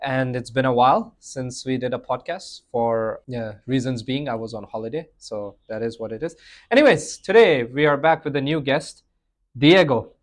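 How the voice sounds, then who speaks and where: A man's voice: mid-range voice, normal speed. A young man hosting a podcast, telling stories in a rambling, laughing way with many fillers. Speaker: podcast host. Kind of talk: casual chat.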